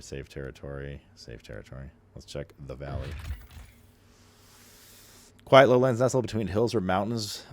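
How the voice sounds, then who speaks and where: A man's voice: very deep voice, a little mellow, slight background noise. A man doing casual, slangy and sweary video game commentary, sometimes reading game text aloud. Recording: game music behind the voice. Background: video game music.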